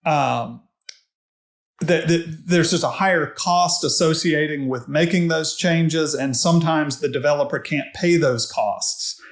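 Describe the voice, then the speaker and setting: A man giving measured, reflective and analytical answers as a podcast guest, recorded in an echoey room. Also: fairly high pitch. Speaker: podcast guest, technology executive. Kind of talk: conversational interview answers. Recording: echoey, reverberant room sound.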